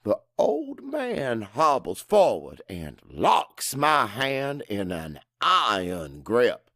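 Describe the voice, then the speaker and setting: Man, first-person fiction narration, unhurried, highly varied intonation, expressive, deliberate. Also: dry recording, studio quality. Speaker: audiobook narrator.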